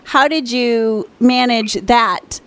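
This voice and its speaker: A woman hosting a radio show, asking guests questions briskly and upbeat. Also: on the high side, fairly bright tone. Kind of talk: radio interview.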